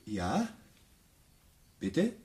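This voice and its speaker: Hesitant confused man, lively intonation. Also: on the low side, drawn-out words, full character voice. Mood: hesitant, polite. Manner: hesitant, evasive. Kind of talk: radio drama dialogue.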